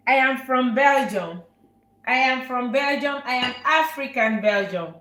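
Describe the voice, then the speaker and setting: A woman chef talks warmly and a little repetitively as she hosts a live cooking stream. Her voice sits a touch high, and it rings in an echoey kitchen room. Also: lively intonation, measured pace. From Nigeria. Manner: warm, repetitive, answers viewer questions. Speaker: chef and channel host. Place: restaurant kitchen.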